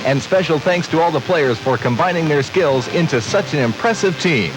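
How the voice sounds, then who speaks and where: Guy reading a local radio ad, really animated, kinda high voice, music under him. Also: moderate pace, low-quality recording. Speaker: radio ad announcer. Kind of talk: scripted local radio commercial.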